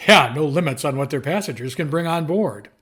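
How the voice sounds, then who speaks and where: A husky, high-voiced male reporter. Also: lively intonation, brisk, a bit bright. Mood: keen, breezy. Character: breezy, reassuring male newspaper reporter. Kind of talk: audio drama acting.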